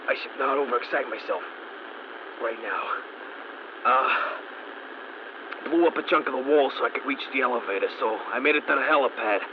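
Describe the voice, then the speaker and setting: A man's voice: mid-range voice, creaky, close to an everyday voice. A man voicing a stranded, weary mechanic who records dry, wisecracking audio log entries. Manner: wry, dry humor. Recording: muffled lo-fi audio log with static noise.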